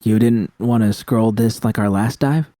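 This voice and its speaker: A man voicing a tender, plain-spoken woman in love, gentle and casual, in audiobook fiction. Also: fairly low pitch, moderate pace, dry recording, studio quality, close to an everyday voice. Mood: wistful.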